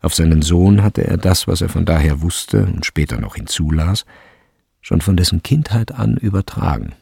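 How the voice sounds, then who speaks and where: A man narrating a literary radio drama in a measured, deliberate way, with a gravelly voice. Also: low-pitched, animated, a little mellow, no room sound, exaggerated voice. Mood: measured, observant. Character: third-person narrator of a turn-of-the-century school story. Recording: studio with music behind. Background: instrumental music.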